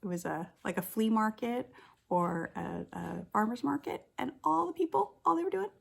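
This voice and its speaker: Lady teacher reading a kids book out loud, bit raspy w/ some creak, expressive, stops to chat to the little ones. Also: fairly high pitch. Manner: deliberate, expressive storytelling with stressed words. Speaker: kindergarten teacher. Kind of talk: children's picture book read-aloud with chatty asides.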